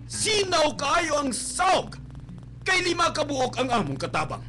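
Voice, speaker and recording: A man's voice: very high-pitched, bright, forceful tone, heavily acted. A man acting a rich, snobbish, scolding father with a Cebuano accent in a melodramatic radio drama. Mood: haughty contempt. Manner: haughty, scolding, explosive. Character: rich, snobbish father scorning daughter's poor suitor. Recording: noisy live-stream radio capture with hum.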